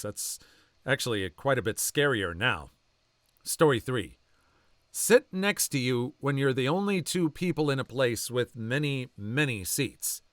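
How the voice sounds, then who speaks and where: Male story narrator, mid-pitched, animated intonation, dry close sound. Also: dry recording. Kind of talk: reading online posts aloud.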